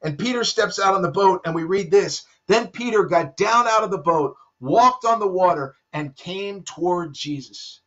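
A middle-aged man preaching a sermon at his desk at home, his voice pitched high for a man. He speaks earnestly and sinks into hushed low tones to stress a point. The webcam sound is dull and lo-fi. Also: expressive, moderate pace, hardly any pauses. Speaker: pastor. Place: home room with bookshelves.

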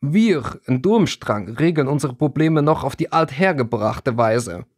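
A man's voice: medium pitch, lively intonation, measured pace, no echo, clean studio sound. A man voicing an irritated, mocking older teenage boy, a foreign wizard student in an audiobook. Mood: irritated, challenging. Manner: mocking, challenging.

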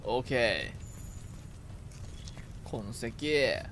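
A young man doing casual live gaming commentary, excitable, exclaiming and repeating short phrases as he plays co-op. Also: mid-range voice, drawn-out words, slight background noise. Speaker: game streamer playing co-op.

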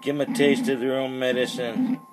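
A man's voice: mid-range voice, flat delivery, brisk, loud music behind the voice, low-quality recording. A man giving casual, joking commentary in short, repeated phrases with a playful, mock-dramatic manner, on a handheld camera. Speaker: CB radio hobbyist filming his radio. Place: dim indoor radio bench.

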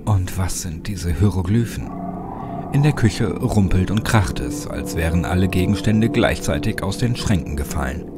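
Low-voiced man narrating horror over loud music. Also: moderate pace. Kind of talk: dramatic scripted audiobook narration of a horror story. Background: loud music under the voice.

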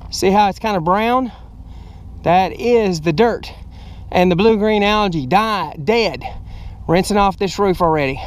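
A man's voice: high voice, unhurried. A middle-aged American man explaining his work in a casual, plainspoken way as he demonstrates it to camera. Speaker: roof cleaning contractor. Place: outdoors on a ladder at a roofline. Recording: outdoor action camera, wind and machinery noise.

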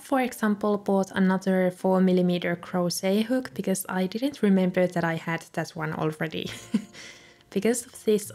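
A young woman, a native Finnish speaker, calmly narrating a voice-over for her video with soft laughs between remarks. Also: medium pitch. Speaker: journaling video creator. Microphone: voice-over recorded separately.

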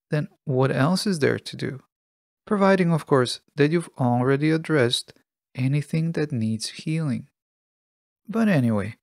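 A man hosting a solo self-help podcast, speaking from a script in a measured, deliberate, instructive way. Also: medium pitch, vocal fry, no echo, clean studio sound. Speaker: podcast host.

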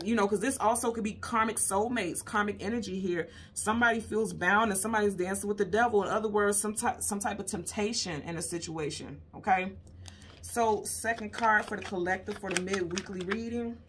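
A woman's voice: medium pitch, a little hiss or hum. A woman hosting a casual, intuitive tarot reading, talking to viewers in a conversational, emphatic way and often repeating her points. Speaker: tarot card reader and host.

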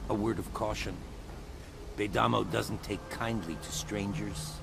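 An actor playing a seasoned guide and ally in a video game, giving informal briefings and cautions. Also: male voice, fairly low pitch, fairly even intonation, unhurried, gravelly, background noise. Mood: cautioning. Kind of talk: video game cutscene acting.

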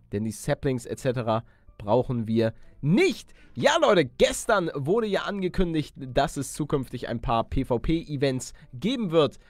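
Higher-pitched young man, slangy gaming commentary. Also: no room sound. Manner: animated, slangy, chummy with viewers. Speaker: YouTube gamer, let's play host. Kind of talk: casual video game commentary.

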